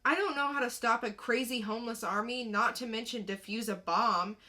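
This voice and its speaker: A woman acts out a streetwise teenage boy from a comic-book drama. He is guarded and defiant, talking in casual slang. The voice is fairly high, bright and sharp. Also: brisk. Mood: defensive, incredulous.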